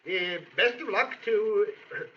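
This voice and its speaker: An actor playing a nervous, apologetic, stammering hotel keeper in an old-time radio western. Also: male voice, high-pitched, measured pace. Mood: flustered, fearful. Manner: stammering, flustered. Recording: old radio recording, faint hiss, muffled.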